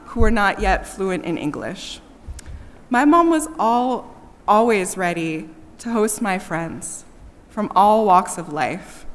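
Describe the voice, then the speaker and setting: A woman's voice: medium pitch, a bit bright. Woman giving a eulogy in a reverberant hall. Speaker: eulogist, daughter speaking at a memorial. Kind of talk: prepared eulogy read aloud. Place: large church, speaking to a gathering. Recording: echoey church hall with long reverb.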